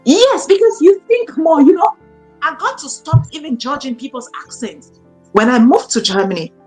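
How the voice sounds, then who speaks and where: A woman's voice: sits high, lively intonation, normal speed, a little mellow, soft background music. A woman, a guest in a conversational interview, who talks emphatically and repeats her points for stress. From Cameroon. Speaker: guest, academic.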